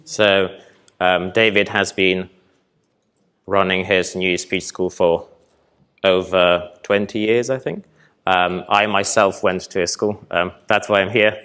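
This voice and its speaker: A young man from Poland presenting at a festival hall and taking audience questions, relaxed and hesitant with many ums. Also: low voice, slow, smooth. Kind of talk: conference talk with audience Q&A.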